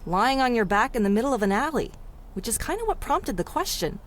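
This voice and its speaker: A woman's voice: a little high, sing-song, brisk, a little hiss or hum. A woman playing a plucky, streetwise city dweller with wry, colloquial speech in a 1930s-style radio drama. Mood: concerned, wry. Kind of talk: 1930s radio adventure serial acting.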